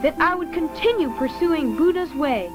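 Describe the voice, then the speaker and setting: A woman playing an earnest, solemn young boy in a dubbed animated film. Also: high voice, moderate pace. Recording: loud music under the voice.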